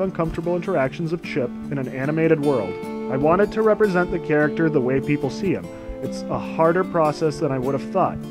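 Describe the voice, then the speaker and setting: A man giving a sarcastic commentary as a video essay host, dry and mocking. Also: fairly high pitch.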